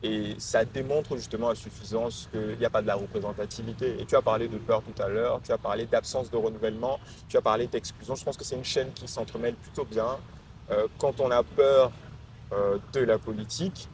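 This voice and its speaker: A man's voice: on the low side, fairly even intonation, a little fast, darkish tone. A man in his twenties with a Cameroonian accent, giving articulate, reflective answers as an interview guest. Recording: noisy urban ambience behind voice.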